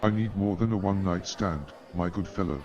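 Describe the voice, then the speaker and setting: A man's voice: low voice, a little fast, lo-fi sound, crowd noise, natural voice. A man playing an eager, lonely former army doctor in a comic radio play, with theatrical, old-fashioned phrasing. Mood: earnest, chatty. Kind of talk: comic stage-style radio play acting.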